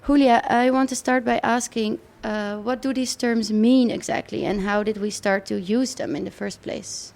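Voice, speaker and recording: A woman's voice: on the high side, fairly even intonation, moderate pace, vocal fry, few pauses, a little mellow. A woman hosting a radio interview, asking questions conversationally with frequent fillers and restarts. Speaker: radio host.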